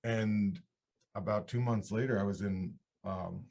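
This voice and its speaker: Deep-ish guy chatting loose and rambly about his music life, from upstate new york. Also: a little slow, gravelly. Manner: rambling, relaxed, restarts mid-sentence. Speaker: country singer-songwriter guest. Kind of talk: casual interview storytelling.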